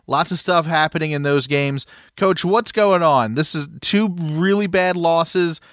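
A man hosting a sports podcast, opening with upbeat, brisk casual chat. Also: fairly high pitch, fairly bright tone, no echo, studio quality. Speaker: podcast host.

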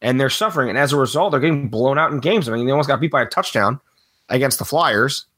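A man co-hosting a sports podcast while he has a cold, sounding a little raspy, talking in a rambling, opinionated way and laughing often. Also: medium pitch, fast, fairly bright tone. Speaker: regular podcast co-host. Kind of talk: casual sports podcast chat.

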